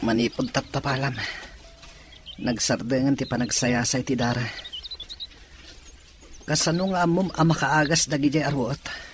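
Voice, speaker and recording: A man's voice: medium pitch, fairly even intonation, moderate pace, long pauses, a little hiss or hum, close to an everyday voice. A man with a Filipino Ilocano accent playing a weary, worried man in a drama. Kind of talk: drama dialogue.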